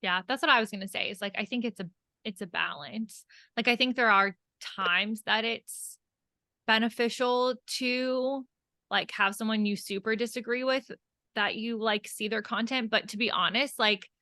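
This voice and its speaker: A woman's voice: a little high, moderate pace, pushed and bright, no echo, studio quality. A woman co-hosting a podcast, talking candidly and conversationally with "like" sprinkled through casual chat. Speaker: podcast co-host.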